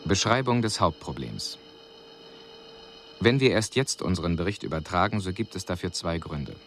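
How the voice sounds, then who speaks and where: An extraterrestrial envoy with a deep voice. He reads out an official report in a cool, precise and measured way, as in a radio play. A faint noisy ambience sits behind the voice. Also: animated. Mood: detached, formal. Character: male alien envoy reporting coolly on a doomed city. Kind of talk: scripted radio drama, formal report. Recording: radio drama recording with audible background noise.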